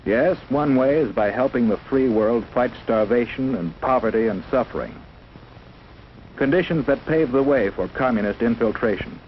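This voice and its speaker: An American man narrates in the scripted style of a 1950s instructional film. His medium-pitched voice is formal and solemn, stating each line declaratively. The sound is a dull old film track with faint background noise. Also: expressive, moderate pace.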